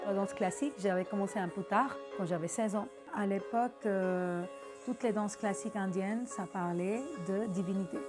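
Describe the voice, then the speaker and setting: A woman's voice: mid-range voice, normal speed. Pakistani-accented woman over music. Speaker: dance teacher and dancer. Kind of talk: personal interview, conversational storytelling. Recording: music playing under the voice.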